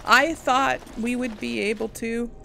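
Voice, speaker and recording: A woman playing a game and commenting casually, excitable, talking to herself and her characters, sometimes answering in character. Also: a little high, measured pace, gravelly, faint music behind. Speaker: gamer playing and role-playing for an audience.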